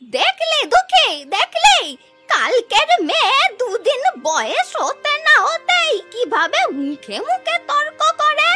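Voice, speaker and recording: A woman voicing a chiding, folksy old village grandmother in an animated folk tale. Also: very high voice, slow pace, music in the background, full character voice. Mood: angry, indignant. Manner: chiding, folksy, proverb-quoting. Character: scolding old village grandmother who begs for food.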